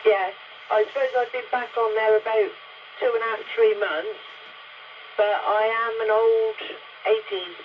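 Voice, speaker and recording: A woman's voice: on the high side, fairly even intonation, measured pace. A woman, chatting in a plain, colloquial way over CB radio. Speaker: CB radio operator. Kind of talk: casual radio chat. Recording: dull, very noisy CB radio link.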